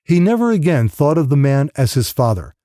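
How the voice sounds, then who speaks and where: A male audiobook narrator reads grim fiction in a dark, mellow voice of middling pitch. His intonation is lively and varied across the scripted prose. The recording is dry, with no room sound. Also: a little fast, no echo.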